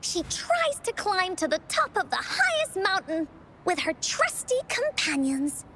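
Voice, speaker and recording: A woman voicing a spirited, imaginative little girl who tells adventure stories theatrically in a video game, with deliberate dramatic pauses. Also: extremely high pitch, very animated, pushed and bright, slight background noise, full character voice. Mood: storytelling, spirited.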